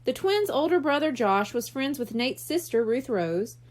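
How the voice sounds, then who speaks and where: A woman's voice: sits high, lively intonation, normal speed. A woman reading a children's book aloud in a scripted storytelling style. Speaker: audiobook reader. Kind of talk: animated children's story narration.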